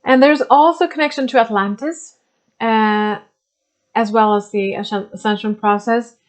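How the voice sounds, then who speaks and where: A woman whose first language is Swedish, explaining spiritual ideas in English with many pauses, fillers and restarts. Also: a little high. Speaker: spiritual teacher. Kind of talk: unscripted explanatory talk.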